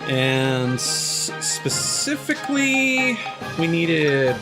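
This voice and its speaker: A man's voice: a little high, very animated, very slow. A man giving casual video game commentary as a live streamer, full of joking, playful asides. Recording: game music behind the voice. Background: video game music.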